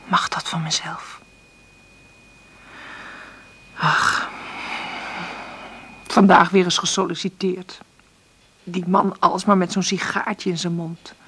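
A husky, breathy middle-aged woman in a naturalistic radio play. She is an insecure, tender mother who hesitates and corrects herself, often half-whispering as if thinking aloud. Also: fairly low pitch. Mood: confiding. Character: insecure, struggling divorced mother, tender and nervous. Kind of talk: naturalistic radio play acting with intimate monologue.

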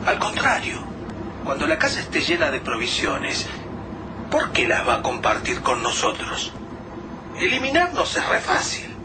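Radio drama casting: distrustful, anxious Argentine man, colloquial, high-voiced, heard off-mic through noise. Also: wildly swooping pitch, unhurried, exaggerated voice. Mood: fearful, distrustful. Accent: Rioplatense Argentine Spanish. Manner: colloquial, urgent. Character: Lucas, anxious distrustful man surviving a deadly snowfall. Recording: noisy, distant from the mic.

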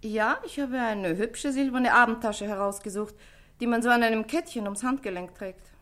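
A world-weary businesswoman, played by a woman in a radio play, with a somewhat high voice. She talks with dry indifference and sardonic disdain. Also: moderate pace, fairly bright tone, lightly acted. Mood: matter-of-fact, dry.

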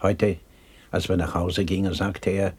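An actor playing a gentle, weary, kind-hearted father in a radio play, mild and a little hesitant, with a husky voice. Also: low-pitched, level tone, unhurried. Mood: sad, reflective. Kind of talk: 1960s German radio play acting.

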